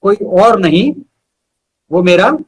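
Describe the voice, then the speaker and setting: A man's voice: high voice, animated, takes it slowly, breathy edge. A man reciting poetry as a guest poet, repeating lines for emphasis, with short asides to listeners.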